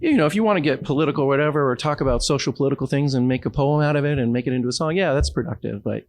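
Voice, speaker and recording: A man's voice: mid-range voice, rapid-fire, dry recording. A man from Los Angeles with an American accent, talking casually and reflectively as an interview guest. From Los Angeles, United States.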